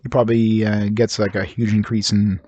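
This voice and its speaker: A man's voice: lowish voice, level tone, normal speed, warm tone, lo-fi sound. A man giving casual let's-play commentary, slightly nasal and congested as his voice recovers, rambling with chuckles and fillers. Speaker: game streamer.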